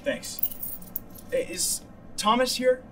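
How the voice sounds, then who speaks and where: An actor with an American accent playing a sardonic, teasing brother in a naturalistic drama. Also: male voice, extremely high pitch, little pitch movement, takes it slowly, fairly bright tone, a little hiss or hum, full character voice. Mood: polite. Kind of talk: naturalistic film dialogue.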